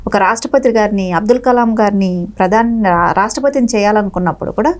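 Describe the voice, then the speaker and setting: A woman's voice: medium pitch, fast, a little mellow. A woman giving emphatic political commentary as a party spokesperson in an interview.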